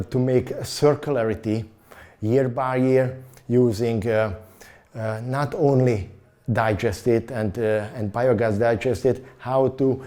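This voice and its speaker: A man giving a halting interview answer as an agriculture expert, with many fillers and restarts. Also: medium pitch, warm tone.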